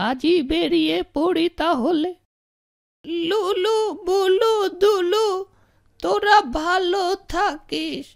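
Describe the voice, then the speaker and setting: A kindly yet stern old man, a village landlord in a folk cartoon , acted out by a woman. The voice is high and creaky, and he speaks slowly with doting, deliberate care. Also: dry recording, clean studio sound, exaggerated voice. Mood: tender. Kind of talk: cartoon character acting.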